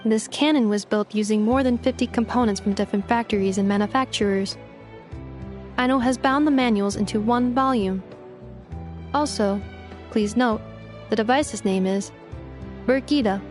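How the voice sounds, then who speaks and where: A woman acts a female robot assistant in a video game. The voice is fairly high, polite and precise, with clipped, matter-of-fact phrasing and a dryly scolding streak. Music is clearly audible behind it. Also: normal speed, long pauses, darkish tone, lightly acted. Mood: informative, correcting.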